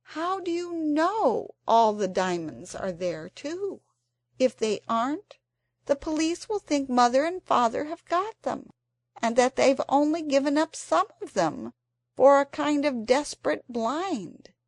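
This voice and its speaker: A woman's voice: high voice, big pitch swings, unhurried, no room sound, pitched above the performer's own voice, exaggerated voice. A woman doing an earnest, dramatic young girl. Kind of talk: storybook character voice.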